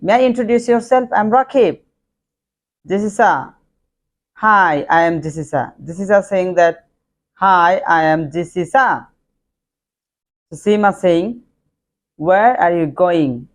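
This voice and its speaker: A man's voice: high-pitched, animated, slow, pauses often. Guy, bengali speaker, teaching basic english online, repeats each example sentence over and over. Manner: repeats example sentences in drills, simple phrasing. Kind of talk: online language lesson.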